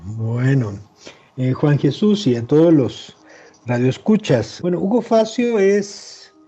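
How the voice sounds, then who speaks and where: A man in his sixties with a Chilean accent, a historian answering interview questions in a measured, reflective way over a remote call. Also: a little high, lively intonation, mellow, slightly rough, highs cut off. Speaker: historian guest.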